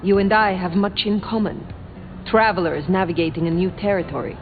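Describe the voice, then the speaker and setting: Narrow, phone-like audio with music underneath holds an actress as an ancient female vampire sheriff. She is aloof and formal, with a middle pitch and measured, cutting delivery in game dialogue. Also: lightly acted. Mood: cool, formal. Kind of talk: video game character dialogue.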